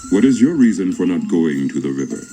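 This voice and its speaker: An actor playing a wise, kindly lion king in a children's read-along story, speaking in a measured, commanding manner. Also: deep voice, very animated, mellow, faint music behind. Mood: gentle. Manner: regal, measured, authoritative.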